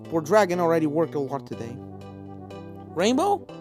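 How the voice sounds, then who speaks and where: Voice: medium pitch, normal speed, a little mellow. Story narrator over background music. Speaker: fan fiction reader.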